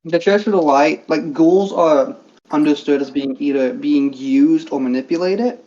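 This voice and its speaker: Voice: medium pitch, normal speed, dark tone. A game master casually narrating a tabletop game with lots of fillers. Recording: dull lo-fi audio.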